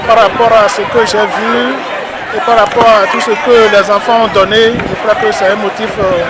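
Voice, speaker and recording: A man with a Beninese French accent, an official giving an interview statement over loud outdoor noise. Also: high-pitched, flat delivery, moderate pace, over a noisy crowd. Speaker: sports ministry official.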